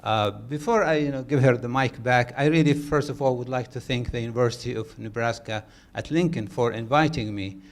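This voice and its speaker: A man's voice: mid-range voice, fairly quick, few pauses. A man speaking as a guest at a panel event, talking hesitantly with many uhs. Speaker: guest speaker from a cultural center.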